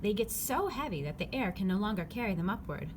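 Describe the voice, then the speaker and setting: A woman reading a children's picture book aloud, phrasing it clearly and simply for young listeners. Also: mid-range voice, very animated, fast. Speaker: read-aloud narrator. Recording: clearly noisy background ambience.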